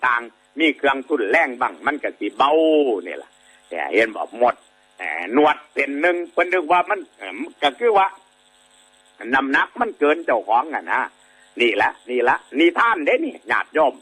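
A man's voice: medium pitch, big pitch swings, moderate pace, bright, forceful tone, slightly rough. A man with an Isan Thai accent, a monk telling a moral fable to lay listeners in a rambling, folksy way, full of filler sounds and frequent checks that the audience agrees. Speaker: Buddhist monk giving a dharma talk.

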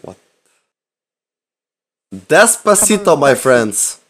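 A man trolling strangers in game voice chat, deadpan and mock-indignant, talking into a headset mic. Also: a little high, drawn-out words, slightly rough. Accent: put-on Russian. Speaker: prank-style player in voice chat.

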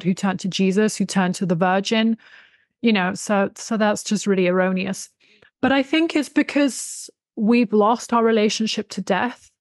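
A woman's voice: mid-range voice, no echo. Emphatic woman, close dry mic. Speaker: interview guest, author and expert on folk spirituality. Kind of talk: conversational interview explanation. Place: small indoor room with bookshelves, video call. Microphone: desktop USB condenser microphone, close.

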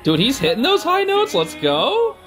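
A young man giving casual, excitable, slangy reaction commentary as a video host. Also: extremely high pitch, normal speed. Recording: music playing behind the voice. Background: stage show music.

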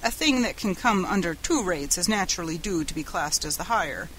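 A woman's voice: lowish voice, animated, a little fast, fairly bright tone, rough recording. Woman reading as stiff businesslike office boss man, formal and bureaucratic, bit of noise. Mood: considering. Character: officious, businesslike male tariff department head.

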